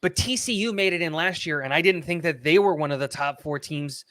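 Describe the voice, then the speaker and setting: A man's voice: on the high side, fairly quick, a bit bright, dry recording, studio quality. A man co-hosting a sports podcast, giving firm, opinionated takes in casual talk. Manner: opinionated, argues points firmly. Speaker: podcast co-host.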